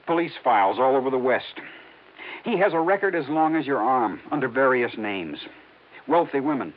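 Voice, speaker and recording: An actor playing a grim, remorseful man who speaks low and gravely in a 1950s radio drama. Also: expressive, moderate pace, lightly acted. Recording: tinny old radio, voice set back from mic.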